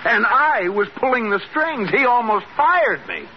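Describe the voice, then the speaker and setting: An American actor playing an earnest, exasperated husband who scolds emphatically in a 1940s radio sitcom. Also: male voice, very high-pitched, very animated, measured pace, exaggerated voice. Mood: exasperated scolding. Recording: muffled old radio, faint hiss.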